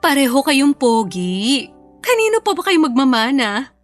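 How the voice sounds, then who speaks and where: Affectionate mother, mock-scolding, raised pitch, family radio drama, faint music bed. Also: sing-song, unhurried. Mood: teasing. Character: loving, mock-scolding mother of two sons.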